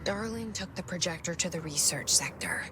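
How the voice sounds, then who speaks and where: An actress playing a determined, guarded young woman in a video game, speaking in a hushed, intimate inner-monologue style. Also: on the low side, animated, moderate pace, over loud music, lightly acted. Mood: focused, guarded. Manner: hushed, intimate, often half-whispered.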